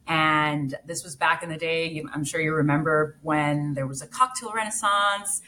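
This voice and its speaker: A woman's voice: deep voice, normal speed, pushed and bright, a little hiss or hum. A woman from Mexico, a brand founder, speaking as a guest in an interview, earnest and reflective with frequent restarts. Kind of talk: casual interview chat.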